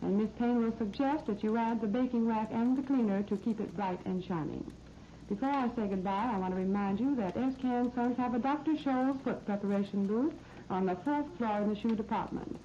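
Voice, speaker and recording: A woman with a fairly high American voice presents a scripted shopping segment on 1930s radio. Her delivery is promotional and instructive, like practical sales patter. The recording is dull and lo-fi, with faint noise from the old disc. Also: fairly quick, mellow. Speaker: radio shopping program host.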